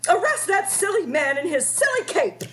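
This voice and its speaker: Casting: actress for imperious rich wife, high sharp voice, melodramatic radio villainess. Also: female voice, sing-song, moderate pace, exaggerated voice. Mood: outraged. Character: haughty rich society wife, scheming, easily outraged. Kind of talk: radio play acting.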